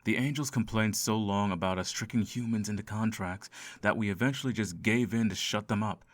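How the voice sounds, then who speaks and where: A man's voice: on the low side, a little fast, no room sound, lightly acted. A man voicing a sardonic, seductive male incubus in an ASMR roleplay, theatrical and dryly sarcastic. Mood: annoyed, insistent. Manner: theatrical, sighing, dry sarcasm.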